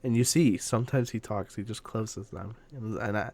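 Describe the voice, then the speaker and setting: A man chatting casually as a podcast co-host, halting and often repeating himself. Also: lowish voice, crowd noise.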